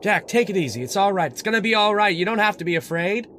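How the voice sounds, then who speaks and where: A man's voice: sits high, lively intonation, fast talker, fairly bright tone, a little hiss or hum. A man playing an anxious young man who calls out pleadingly to his partner in the snow, in an old-time radio drama. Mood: pleading, reassuring. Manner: pleading, calling out.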